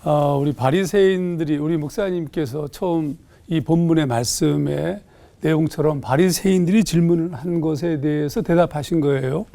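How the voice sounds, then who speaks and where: High, gravelly-voiced pastor explaining scripture. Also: male voice, moderate pace, warm tone, slight background noise. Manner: thoughtful, explanatory, with hesitant fillers. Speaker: pastor on a panel. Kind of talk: panel discussion explaining scripture. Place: TV studio.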